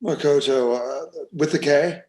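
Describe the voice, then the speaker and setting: A man acting a calm police detective in a screenplay table read, with measured, procedural questioning. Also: fairly high pitch, natural voice. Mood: probing. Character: steady, businesslike male police detective.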